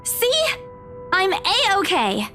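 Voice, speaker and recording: Young game heroine, girlish high voice, halting and earnest, bit of music behind. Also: huge pitch swings, bright, forceful tone, heavily acted. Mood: reassuring, plucky. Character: dazed, earnest young woman estate agent, plucky and reassuring. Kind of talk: visual novel game voice acting.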